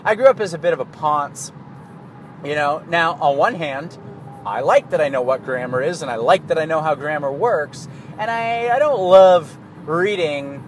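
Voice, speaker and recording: Canadian guy, somewhat high voice, loose profane monologue, car and street noise audible behind. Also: normal speed. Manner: rambling, profane, laughs at himself. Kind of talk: casual monologue.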